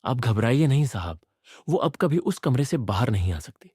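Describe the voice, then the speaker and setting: Subdued male caretaker, done by a male narrator, hushed, respectful, grave, dark dry voice. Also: medium pitch, big pitch swings, normal speed, breathy edge, no room sound, pitched above the performer's own voice. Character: fearful, subdued male caretaker servant with grim secret.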